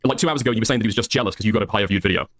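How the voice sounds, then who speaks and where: Very fast, insistent man arguing. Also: lowish voice, a bit bright. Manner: insistent, interrupting, pressing. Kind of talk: confrontational live debate.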